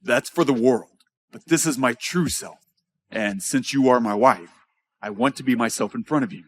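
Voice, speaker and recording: A man playing a charming, calm billionaire husband in a romantic audio drama, gentle and reassuring yet possessive. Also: medium pitch, moderate pace, natural voice. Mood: intimate, warning. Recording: music bed under the voice.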